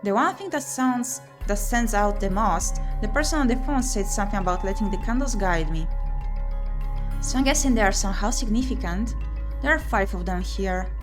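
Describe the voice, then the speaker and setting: A woman narrates a visual novel as she plays, reading the text aloud with dramatic expression. Her pitch sits in the middle range for a woman. Game music plays clearly behind her voice. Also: animated, moderate pace. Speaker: VTuber streamer playing a visual novel.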